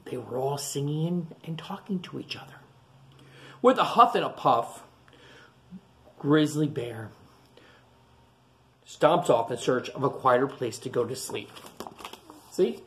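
A man in his forties, a teacher reading a picture book aloud for children and explaining each page, recorded close on a webcam in a classroom. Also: a little high. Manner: animated, explains the story to children between pages. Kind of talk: children's storytime read-aloud. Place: classroom, indoors. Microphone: webcam at close range.

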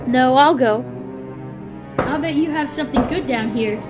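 Young drifter acted by a woman, tough, suspicious, pushy, fairly high-pitched, radio horror play, music behind. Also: moderate pace, close to an everyday voice. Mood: threatening, demanding. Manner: blunt, demanding, wary. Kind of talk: old-time radio horror acting.

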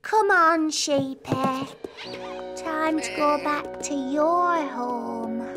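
A woman voicing a bossy, excitable, stubborn little princess girl in a children's cartoon. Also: sits high, extremely slow. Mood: earnest. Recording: music behind the voice.

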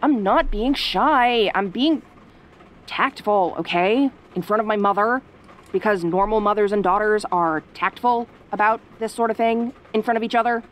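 A woman playing a sassy, easily flustered young tomboy girlfriend, sarcastic and haltingly defensive, in an audio roleplay. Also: medium pitch, natural voice. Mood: defensive, suspicious.

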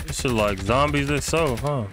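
A man's voice: mid-range voice, moderate pace, noisy background. A man giving casual, slangy live commentary as he streams a video game, in a laid-back manner.

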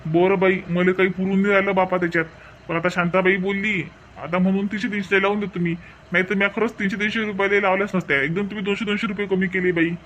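A man's voice: sits high, level tone, fast, fairly bright tone, a little hiss or hum. A man doing a pushy peddler with a Varhadi accent. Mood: haggling. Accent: Varhadi Marathi. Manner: haggling, stubborn. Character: pushy middle-aged male street peddler. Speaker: umbrella seller.

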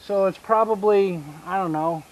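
A man in his fifties with a Southern US accent, giving a casual outdoor tour of his homestead and explaining each step with pauses. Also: high voice, poor audio. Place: outdoors on rural land by a pine forest. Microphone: action camera held at arm's length.

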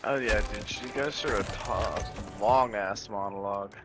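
A man's voice: on the low side, a little slow, background noise. A man chatting casually as a gaming teammate, with slangy, sweary wording. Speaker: co-op teammate on voice chat. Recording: loud game sound over voice chat. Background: loud water, boat sounds and clicks.

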